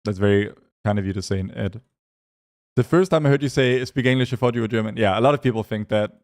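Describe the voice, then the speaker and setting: A man's voice: fairly low pitch, fast talker, a little mellow. A young man from Denmark with a light Danish accent, chatting loosely as a game streamer and reading out names from his chat. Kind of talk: casual chat with live chat.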